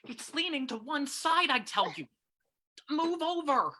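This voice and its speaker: A woman's voice: high-pitched, big pitch swings, measured pace, bright, forceful tone, full character voice. A woman playing a nervous male criminal accomplice in a radio mystery, curt and irritably complaining. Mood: irritable. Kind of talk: old-time radio mystery acting.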